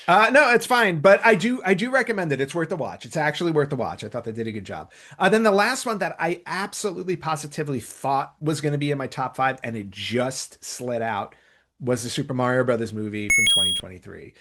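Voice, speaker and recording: A man's voice: fairly high pitch, animated, brisk, bright, forceful tone. A man hosting a podcast in a casual, conversational commentary style. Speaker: podcast host. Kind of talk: casual chat, countdown commentary.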